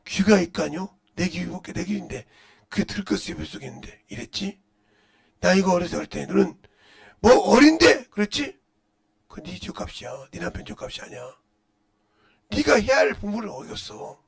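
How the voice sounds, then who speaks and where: A middle-aged man preaching as a pastor in a blunt, scolding manner, firing rhetorical questions and swinging between muttered asides and loud outbursts. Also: lively intonation, a little fast, creaky. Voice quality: loud, booming voice. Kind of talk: impassioned Korean-language sermon with rhetorical questions.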